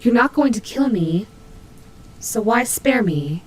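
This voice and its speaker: A high-pitched tsundere demon bunny, acted by a woman for an audio roleplay. She is proud, huffy and easily flustered, stammering her objections and drawing her words out very slowly. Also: animated, slight background noise, poor audio. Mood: wary confusion. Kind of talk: scripted audio roleplay acting.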